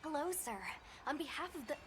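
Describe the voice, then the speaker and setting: A woman voicing a poised and earnest female guild official in a video game, polite and careful. Her voice is high, set against loud ambience with animal sounds. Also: fairly quick, lightly acted. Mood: polite, cautious. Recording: game audio with loud background noise.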